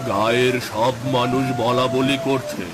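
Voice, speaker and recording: Man acting slow, grave old village uncle. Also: mid-range voice, fairly even intonation, very noisy, natural voice. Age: older. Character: slow-talking old village uncle bearing grim news.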